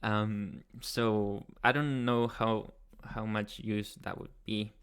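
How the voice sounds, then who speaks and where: A young man from Chile with a Chilean Spanish accent, hosting a casual livestream and answering chat in a soft, hesitant way with many pauses. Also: on the low side, flat delivery, clean tone. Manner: soft-spoken, hesitant, many ums and restarts. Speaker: ASMR channel host. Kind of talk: casual livestream chat, reading viewer comments.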